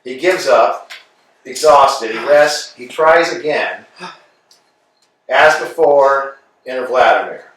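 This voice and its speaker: A man reading stage directions aloud in a plain, measured way. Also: medium pitch, a bit bright. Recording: echoey room, distant mic.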